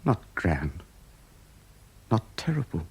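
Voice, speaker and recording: An actor playing a desperate, self-justifying murderer in a radio drama, brooding theatrically with sudden nervy outbursts. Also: male voice, fairly low pitch, very animated, slow pace, creaky, breathy edge, heavily acted. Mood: grim, hushed.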